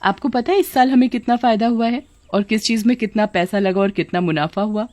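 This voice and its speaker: A woman's voice: fairly high pitch, brisk, a little mellow, no room sound, pitched above the performer's own voice, natural voice. A woman voicing an outspoken, insistent young wife in a cartoon story. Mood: explaining. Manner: urgent, insistent, accusing. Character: educated, outspoken young wife confronting deceit.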